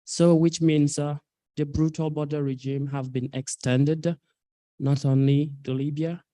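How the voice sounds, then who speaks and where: A man in his twenties from South Sudan, an activist speaking earnestly at a public talk, with halting delivery and many fillers. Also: fairly high pitch, little pitch movement, mellow, no room sound. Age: 20s. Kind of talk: personal testimony at a public talk.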